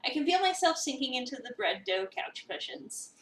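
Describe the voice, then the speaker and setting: A woman's voice: sits high, very animated, normal speed, a bit bright, far from the mic. A woman streamer expressively reading a visual novel aloud, acting out lines and chatting casually in between. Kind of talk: dramatic reading of a game script with casual chat asides. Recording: roomy echo, away from the mic.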